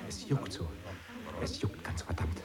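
An actor in an experimental radio play, speaking in a subdued, muttered, trembling way. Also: male voice, a little slow, crowd noise, natural voice. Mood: uneasy.

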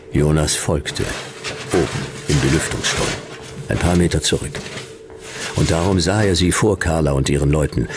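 An actor playing a laconic, weary private detective, narrating and talking in a dry, deadpan way in a radio play. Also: male voice, very deep voice, background noise.

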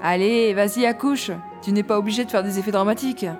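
A woman's voice: medium pitch, fairly even intonation, quick pace, lightly acted. A woman acting a blunt, slangy, sardonic heroine in a French-language audio drama. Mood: teasing, brisk. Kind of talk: audio drama acting and first-person narration. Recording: loud music bed underneath. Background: music.

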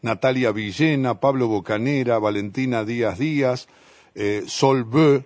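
A man's voice: medium pitch, unhurried, a little mellow, dry recording. Argentine male radio host, dry studio sound. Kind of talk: conversational radio interviewing.

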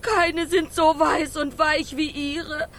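Very high, slow, plaintive hen keeper. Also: female voice, little pitch movement, fairly bright tone, exaggerated voice. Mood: horrified, outraged. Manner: plaintive, scolding. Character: fussy, plaintive, easily outraged hen keeper. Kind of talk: children's radio play acting.

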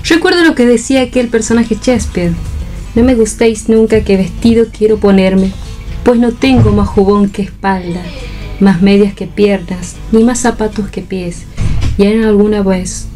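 A woman acting a theatre spectator in a radio play. The delivery is recitative and declamatory, in a mellow mid-pitched voice over faint music. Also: normal speed, lightly acted. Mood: reciting.

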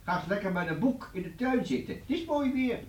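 A man's voice: high-pitched, lively intonation, brisk, muffled recording. A man playing an absent-minded, evasive inventor professor in a children's radio play, distracted and dismissive. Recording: echoey, distant from the mic.

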